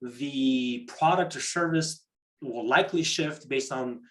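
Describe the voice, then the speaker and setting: A man's voice: mid-range voice, slow, fairly bright tone. A man presenting a workshop in a conversational, explanatory way, often repeating words as he restarts and checking in with short tag questions. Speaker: program manager presenting a workshop. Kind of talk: interactive online workshop talk on pitching.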